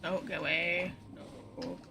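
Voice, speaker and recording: A woman's voice: fairly low pitch, very animated, measured pace. A woman giving casual, blunt and mildly sarcastic live commentary as she plays a game. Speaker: game streamer.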